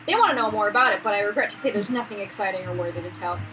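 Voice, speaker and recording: A woman's voice: mid-range voice, very fast, noisy background, lightly acted. A woman voicing an earnest, eager young man, a painter, in a visual novel. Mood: sheepish.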